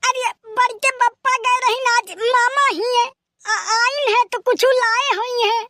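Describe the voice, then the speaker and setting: A little cartoon village boy with a piercing, bright voice and a rustic eastern Uttar Pradesh accent. He is cheeky and aggrieved, grumbling in exclamations at an unhurried pace, recorded dry and close. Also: very high-pitched, steady tone, dry recording, full character voice. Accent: eastern Uttar Pradesh (Awadhi). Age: child character. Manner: complaining, colloquial, exclamatory. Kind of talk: rustic comedy cartoon dialogue.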